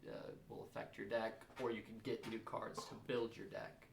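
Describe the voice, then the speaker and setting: Dude with a creaky voice explaining stuff casually, kinda dry, echoey room, bit of noise. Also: male voice, on the low side, little pitch movement, moderate pace. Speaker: podcast co-host. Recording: roomy, echoey, faintly noisy home recording.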